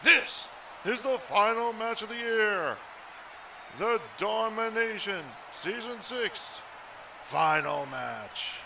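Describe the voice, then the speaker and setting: Man as a high, gravelly, grandiose ring announcer. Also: expressive, full character voice. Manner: grandiose, drawn-out phrases. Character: showy male wrestling ring announcer, grandiose. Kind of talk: ring announcing in an amateur web cartoon. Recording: noisy, with background ambience.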